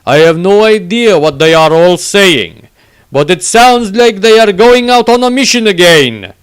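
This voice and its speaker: A man's voice: sits high, expressive, a little slow, smooth, pitched above the performer's own voice. A man voicing a bewildered, simple male adventurer in a fantasy audiobook diary. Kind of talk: fantasy audiobook character reading.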